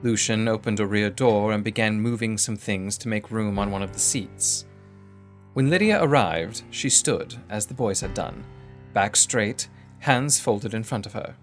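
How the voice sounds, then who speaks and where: A man's voice: on the low side, a little slow, soft background music. A man performing scripted first-person narration of a dramatic story.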